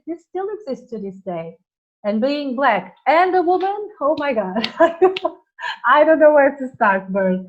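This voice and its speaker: A woman with a Portuguese accent, hosting a personal conversation and speaking earnestly and emphatically, often restarting her sentences. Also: sits high. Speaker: host. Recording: muffled lo-fi recording.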